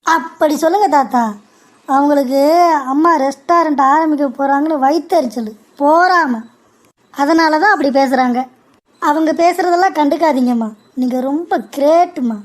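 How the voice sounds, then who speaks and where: Woman as an eager, high-pitched cartoon son. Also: moderate pace, close to an everyday voice. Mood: indignant. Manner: supportive, flattering. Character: loyal, eager young adult son. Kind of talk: cartoon parody dialogue.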